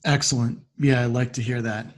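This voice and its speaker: A man's voice: medium pitch, fairly even intonation, a little fast. A man hosting a podcast interview, putting questions to a guest and responding to the answers.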